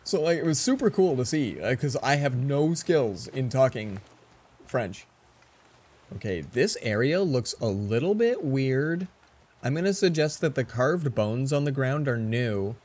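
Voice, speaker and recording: A man's voice: medium pitch, big pitch swings, unhurried, a little mellow. A man in his forties giving casual gameplay commentary, rambling and chatty as he tells stories between moves. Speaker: let's play host.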